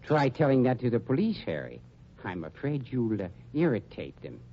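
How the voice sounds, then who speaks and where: An actor playing a suave, mercenary funeral director in an old radio crime drama, speaking with pompous, deliberate diction. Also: male voice, medium pitch, big pitch swings. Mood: smug. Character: suave, shrewd funeral director, coolly mercenary double-crosser. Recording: dull, noisy old radio recording.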